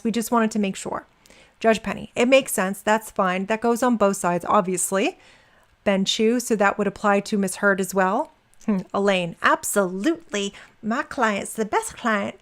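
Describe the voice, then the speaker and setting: A woman reading a transcript aloud, dropping in informal, wry asides as commentary. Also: medium pitch. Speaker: reader and commentator.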